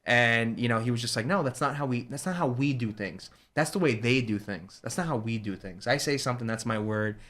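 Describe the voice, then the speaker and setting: A man from New Jersey talks quickly and at a middle pitch, telling a story in a relaxed chat. He slips into the voices of the people he quotes as he goes. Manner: fast, animated retelling with quoted dialogue. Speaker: guest, ice cream shop owner. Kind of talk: casual storytelling.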